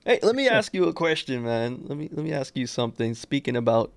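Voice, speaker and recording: A man's voice: medium pitch, big pitch swings, fairly quick, vocal fry, no room sound. A man co-hosting a podcast, chatting casually in a playful, boastful, teasing way. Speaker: podcast co-host. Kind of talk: casual banter.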